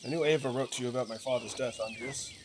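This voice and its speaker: Guy playing a blunt villager dude in a game, matter of fact, normal pitch, nature noise behind. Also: fairly even intonation, fast, lightly acted. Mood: plain, earnest. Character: blunt, plainspoken male villager, new father. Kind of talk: reading game dialogue aloud.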